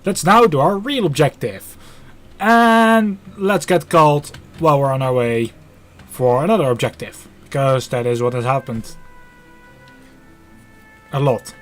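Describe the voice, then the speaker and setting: Higher-pitched man commenting on a game. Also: a little slow, long pauses, fairly bright tone, soft background music. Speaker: live streamer.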